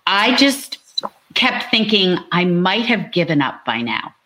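A woman's voice: lowish voice, sing-song, a little slow, sharp tone, a little hiss or hum, rough recording. A woman author talking casually about her novel at a book launch, chatty and explanatory.

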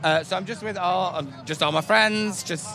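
Laid-back man, bright voice. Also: high-pitched, moderate pace, vocal fry, a little hiss or hum. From Leeds. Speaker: festival goer. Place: outdoor street festival.

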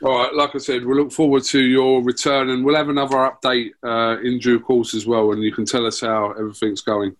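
A man's voice: mid-range voice, little pitch movement, brisk, few pauses. Easygoing male interviewer. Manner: polite, easygoing, wraps things up. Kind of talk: casual interview.

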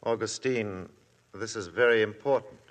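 A sceptical, brusque prosecutor, as an actor plays him speaks impatiently in a fairly low voice. The delivery follows 1950s radio drama acting. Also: male voice, moderate pace, close to an everyday voice. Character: sceptical middle-aged male crown prosecutor.

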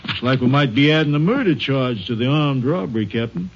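An actor playing a plain-spoken police chief in an old-time radio drama, casual, folksy and businesslike. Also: male voice, medium pitch, lively intonation, brisk, background noise, natural voice. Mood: grave. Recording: muffled old radio recording.

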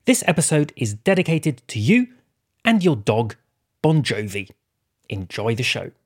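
A man's voice: medium pitch, very animated, measured pace. A man hosting a podcast, reading a scripted introduction and addressing listeners directly with thanks. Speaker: podcast host.

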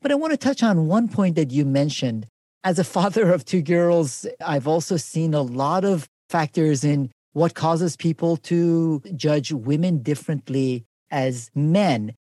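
A man's voice: on the high side, lively intonation, a little slow, warm tone, no echo, clean studio sound. A man hosting a podcast interview, asking long, appreciative questions. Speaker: podcast host.

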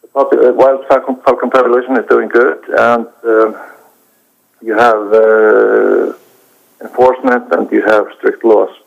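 A man answers interview questions as a documentary director, stopping often and restarting his sentences. His voice has a gravelly, creaky edge at a middling pitch. The recording is dull and lo-fi. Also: level tone. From Iceland. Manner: halting, many hesitations and restarts.

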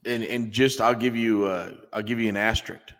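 A man's voice: fairly low pitch, fairly even intonation, normal speed, a bit bright, big-room sound. A man co-hosting a wrestling review podcast in a casual, joking way. He makes folksy asides and often stops and restarts his sentences. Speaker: podcast co-host.

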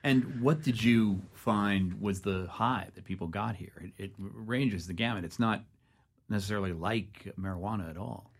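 A man hosting a radio news interview, moving between measured narration and questions to a guest. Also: fairly low pitch.

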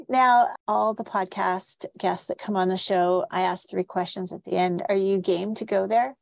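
A woman's voice: mid-range voice, fairly even intonation, unhurried, creaky, a little mellow, no room sound. A woman hosting a podcast interview, speaking in an affirming, conversational way. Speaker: podcast host. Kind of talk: casual interview talk.

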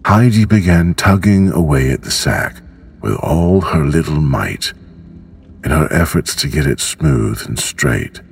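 Very deep, gravelly male storyteller. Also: animated, measured pace, soft background music. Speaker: bedtime story narrator. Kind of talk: slow scripted storybook narration for sleep.

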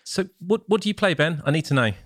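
A man co-hosting a podcast, chiming in with jokes and quick agreements. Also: a little high, animated, fairly bright tone, dry recording, studio quality. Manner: joking, chiming in with short interjections. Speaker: podcast co-host. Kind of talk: casual chat.

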